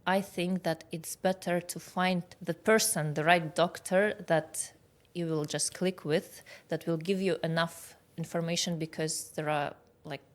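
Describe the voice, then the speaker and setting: A woman in her early thirties speaks with a Russian accent. Her voice is low for a woman, and she explains things conversationally, like a doctor being interviewed. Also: steady tone, a little slow, few pauses. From Kazakhstan. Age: 30s. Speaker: guest expert, aesthetic doctor.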